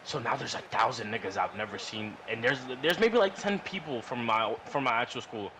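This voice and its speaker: A man tells a story among friends in loose, slangy, swear-heavy talk. His voice sits at an average pitch, and he circles back and repeats words as he goes. There is some noise in the recording. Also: brisk. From New York. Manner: rambling, repetitive, slangy, animated retelling. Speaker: podcast storyteller. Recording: noisy room recording.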